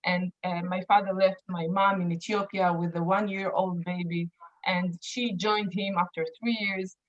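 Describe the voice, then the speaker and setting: A woman's voice: lowish voice, steady tone, moderate pace, muffled recording. Earnest woman in her thirties. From Israel. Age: 30s. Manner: earnest, many fillers and restarts. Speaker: activist and association chairperson. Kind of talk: personal account in an interview.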